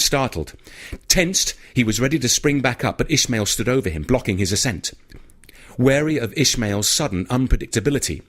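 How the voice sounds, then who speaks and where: A man reading horror fiction as an audiobook narrator, in a scripted, dramatic storytelling style. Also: lowish voice.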